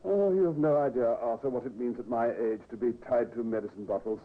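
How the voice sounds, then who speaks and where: A man's voice: medium pitch, fairly quick, lightly acted. An actor playing a fretful, proud, sickly husband in his fifties in 1950s radio drama, formal and hesitant. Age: 50s. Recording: tinny, hissy old radio recording.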